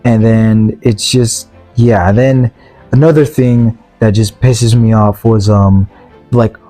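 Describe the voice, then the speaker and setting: A man's voice: lowish voice, steady tone, slow, dark tone, soft background music. A man giving a casual, opinionated review rant in an exasperated, emphatic way, often repeating rhetorical questions. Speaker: movie reviewer.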